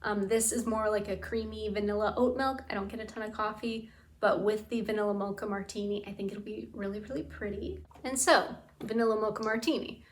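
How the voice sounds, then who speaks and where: A woman vlogging in a casual, chatty way about bath products, her voice tired and a bit raspy from talking. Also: medium pitch, steady tone, fairly quick. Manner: enthusiastic, gushing, lots of "like". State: tired, slightly raspy throat. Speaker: beauty and scent vlogger. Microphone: camera mic.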